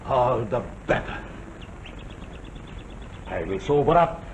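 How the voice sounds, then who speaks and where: A man's voice: mid-range voice, lively intonation, slow pace, lo-fi sound. An actor playing a proud, imperious general who boasts of his fine palate, in a late-1940s live television drama. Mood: insistent. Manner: imperious, insistent, scoffing. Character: proud, pushy general, boastful connoisseur of wine. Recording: noisy old 1940s television soundtrack.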